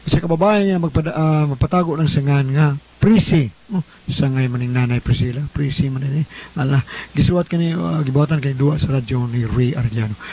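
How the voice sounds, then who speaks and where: A man's voice: mid-range voice, fairly quick, dark tone, low-quality recording. A man with a Cebuano Filipino accent hosting a radio show, chattily greeting listeners and introducing letters. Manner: chatty, addresses listeners directly. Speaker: radio host and letter reader.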